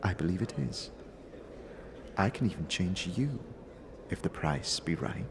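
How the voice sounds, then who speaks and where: Man voicing a cryptic, aloof arcane woman, low-pitched. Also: expressive, measured pace, gravelly, long reverb tail, background noise, heavily acted. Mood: guarded, businesslike. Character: proud, cryptic female arcane dealer in name-magic.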